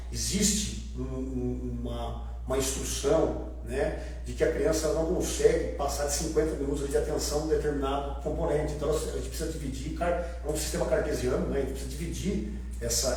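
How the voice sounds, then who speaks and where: A man's voice: on the high side, dark tone. A man with a Brazilian accent speaking as an interviewed teacher and candidate, explaining in a didactic way and repeating key points for emphasis. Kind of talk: explanatory political interview on a livestream. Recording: very echoey room, distant mic, hum.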